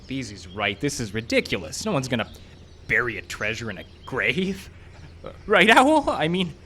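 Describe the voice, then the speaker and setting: Man acting jittery, rambling hapless filmmaker, wildly animated. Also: mid-range voice. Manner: rambling, jittery, self-mocking. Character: hapless self-doubting male filmmaker digging up a grave. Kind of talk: live audio drama acting, rambling monologue. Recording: outdoor live stage, faint background noise.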